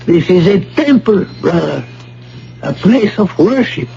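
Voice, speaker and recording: High-voiced, slow, solemn temple priest. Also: male voice, very animated, gravelly, mellow, soft background music, heavily acted. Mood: calm, solemn. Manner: slow, formal, reverent speech. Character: solemn Incan temple priest, devout and unyielding. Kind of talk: old-time radio drama acting. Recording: muffled, noisy vintage radio broadcast.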